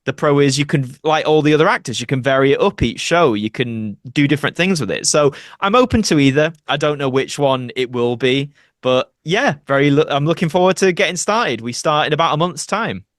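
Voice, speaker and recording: A man's voice: fairly high pitch, a little fast, dry recording. Chatty male actor, close dry sound. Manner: conversational, explanatory. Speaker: actor, podcast guest. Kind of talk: casual interview chat.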